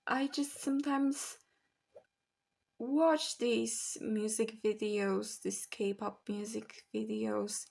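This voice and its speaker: A young woman from Poland, chatting candidly to camera about learning languages. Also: medium pitch. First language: Polish. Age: young adult. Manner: chatty, candid, slow and musing. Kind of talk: casual talk to camera.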